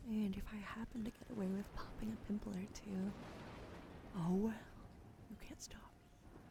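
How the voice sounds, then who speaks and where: A woman streaming ASMR, softly whispering in a chatty, playful way, with a slight lingering cough as she recovers from being sick. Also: medium pitch, level tone, normal speed, vocal fry, background noise. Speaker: livestreamer.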